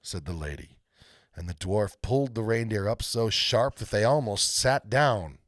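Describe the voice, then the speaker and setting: A man reading a storybook aloud as a live host, narrating dramatically with deliberate pauses. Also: lowish voice, no room sound, studio quality. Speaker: read-aloud storyteller hosting a live stream.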